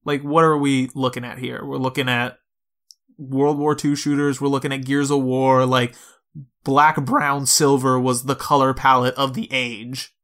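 A man's voice: medium pitch, level tone, measured pace, fairly bright tone. A man co-hosting a podcast, talking through video games in a conversational, reasoned way with asides. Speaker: podcast co-host. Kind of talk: casual video game discussion.

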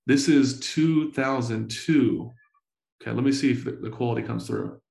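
A man in his forties telling stories in a casual interview, full of slang and swearing, excitable and often repeating himself. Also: medium pitch, normal speed, gravelly, reverberant. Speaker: entrepreneur guest.

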